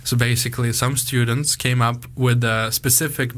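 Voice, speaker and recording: A man's voice: mid-range voice, fairly even intonation, normal speed, a bit bright. A young man from Estonia whose first language is Russian, chatting casually as a podcast guest and leaning loosely on filler words. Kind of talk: casual conversation.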